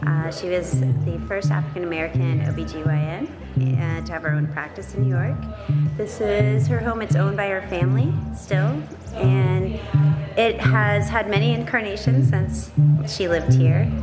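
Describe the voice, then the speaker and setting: A woman calmly introducing a historic house on camera in a documentary. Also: mid-range voice, moderate pace, music in the background. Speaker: documentary presenter.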